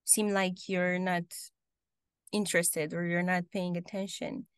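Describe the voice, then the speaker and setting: A young woman from Romania with a Romanian accent, answering interview questions casually on a video call, often pausing with ums and restarting sentences. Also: lowish voice, level tone, smooth, no echo, studio quality. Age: young adult. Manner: hesitant, many ums and restarts. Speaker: guest. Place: home room, video call.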